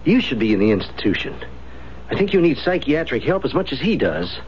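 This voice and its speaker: An anxious, disapproving lover played by a man in a 1970s radio drama. His fairly high voice moves widely as he questions and reproves, heard on a dull, slightly noisy recording. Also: very animated, a little fast, a little mellow, natural voice. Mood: alarmed, reproving. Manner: worried, questioning. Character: anxious, disapproving male lover of scheming wife.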